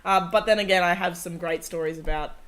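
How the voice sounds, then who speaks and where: A woman's voice: fairly low pitch, brisk, fairly bright tone, a little hiss or hum. A woman hosting a casual comedy chat podcast, talking in a playful, chatty way with some swearing. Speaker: podcast host.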